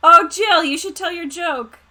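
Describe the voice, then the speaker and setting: A woman's voice: very high-pitched, normal speed, sharp tone. A giggly, playful woman chatting casually as a player in an online tabletop game. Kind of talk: casual chat, reading out viewer comments.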